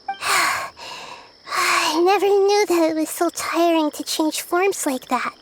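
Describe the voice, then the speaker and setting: A woman voicing a gentle, wise young goddess in a game, speaking thoughtfully in a soft girlish voice. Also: very high voice, slow, heavy background noise. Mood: weary. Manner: thoughtful, careful explaining.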